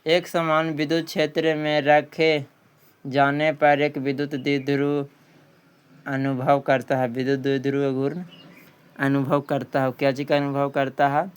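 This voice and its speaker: Male exam coach, Bihari accent, exceptionally clean smooth voice, fairly even pitch, didactic question-and-answer delivery with repetition. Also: unhurried. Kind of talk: quiz lesson, reading questions aloud and answering them.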